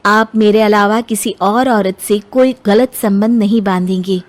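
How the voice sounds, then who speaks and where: A woman's voice: mid-range voice, steady tone, unhurried, close to an everyday voice. A woman voicing a spirited, earnest young bride in a Hindi cartoon. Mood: solemn.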